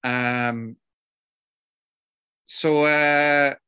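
Slow, halting Scottish man. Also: on the high side, steady tone, bright, forceful tone, clear, resonant tone, no echo. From Scotland. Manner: halting, many ums and restarts, candid and swearing. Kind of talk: personal recovery story, casual monologue.

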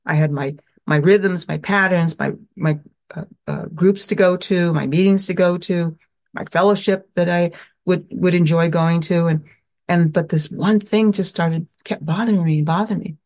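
A woman from the American Southwest telling her personal recovery story in a halting, reflective way, with frequent restarts and fillers. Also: lowish voice, steady tone, a little mellow.

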